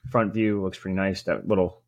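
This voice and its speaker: Lowish guy voice, sports podcast cohost, rambly and opinionated, super informal, dry sound. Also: fairly even intonation, brisk, no echo, dull audio. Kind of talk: casual sports chat.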